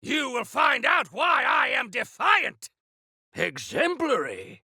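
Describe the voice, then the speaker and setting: A feisty old clan warrior from a video game, with a high-pitched, gravelly voice that swings wildly in pitch. He is defiant and grandiose, speaking in archaic flourishes with a piercing, forceful edge. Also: wildly swooping pitch, slow pace, dry recording, clean studio sound, heavily acted. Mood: defiant, fiery. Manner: theatrical, grandiose, archaic turns of phrase. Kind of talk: video game character acting.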